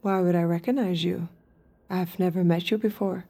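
A woman playing an obedient, observant android escort, speaking in a measured, intimate way in an audio drama. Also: lowish voice, fairly even intonation, gravelly, dark tone, dry recording. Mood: polite, compliant. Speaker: narrator and lead character.

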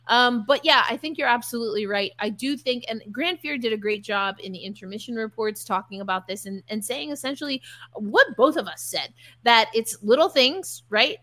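A woman's voice: fairly high pitch, normal speed, bright, forceful tone. A woman hosting a sports podcast in a conversational style, chatty and upbeat.

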